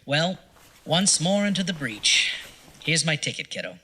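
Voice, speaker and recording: An actor playing a gruff, cynical 1940s film critic, wisecracking and dismissive in a film noir audio drama. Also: male voice, fairly high pitch, sing-song, measured pace, pushed and bright, exaggerated voice. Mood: breezy, brusque. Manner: wisecracking, dismissive, clipped slangy talk.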